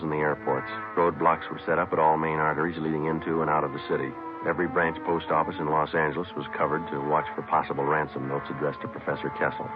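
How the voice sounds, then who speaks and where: A man acting a terse, businesslike police detective narrating his case in deadpan, clipped American radio style. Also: a bass voice. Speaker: lead actor and narrator. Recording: tinny vintage radio recording with music.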